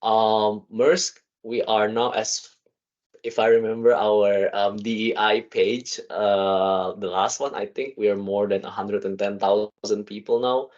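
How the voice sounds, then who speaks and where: A man in his thirties from Indonesia, a podcast guest talking casually in an office meeting room, chatty with quick bursts and restarts. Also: lowish voice, flat delivery, a little mellow. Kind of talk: casual conversational interview. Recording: dull lo-fi sound.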